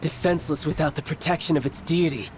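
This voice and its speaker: An actor playing a confident, battle-hungry young male villain in a video game cutscene, speaking in a measured, formal and smug manner. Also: on the high side, a crowd in the background, close to an everyday voice. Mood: coldly menacing.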